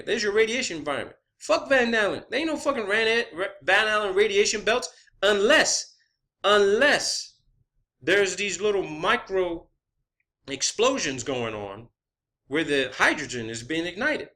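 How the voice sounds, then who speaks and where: A man's voice: sits high, sing-song, moderate pace, sharp tone. A man giving an improvised, emphatic and profane monologue as an amateur explainer, repeating words for stress and checking his points with questioning tags. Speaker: amateur science vlogger.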